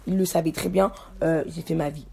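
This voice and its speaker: A woman's voice: low-pitched, steady tone, brisk, gravelly, mellow. A young woman giving a casual, slangy rant to her followers, defiant and emphatic, repeating herself to make her point. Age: young adult. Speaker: social media personality telling her side of a story.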